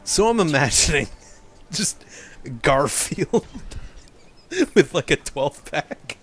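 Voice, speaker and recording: A man's voice: fairly high pitch, expressive, slow pace, faint music behind. A man giving casual, joking commentary, often chuckling through his remarks. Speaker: co-host commenting while playing a game.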